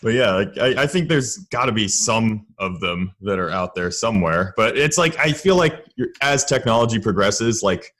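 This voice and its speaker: A man's voice: lowish voice, expressive, normal speed, hardly any pauses, a bit bright. A man chatting casually in a conversational podcast style. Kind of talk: casual chat.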